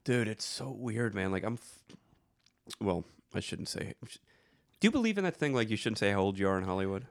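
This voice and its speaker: A man in his forties with a very low, gravelly voice, chatting loosely on a podcast. He rambles, restarts his sentences and swears often. The sound is dry and close. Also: lively intonation, brisk, no room sound. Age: 40s. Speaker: podcast co-host. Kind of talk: casual chat.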